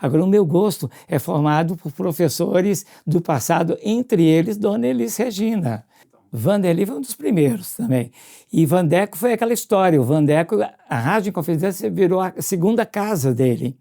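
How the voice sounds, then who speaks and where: Mellow older Brazilian radio man. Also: sits high, lively intonation, moderate pace, vocal fry. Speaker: radio presenter and music programmer. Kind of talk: casual reminiscing in an interview.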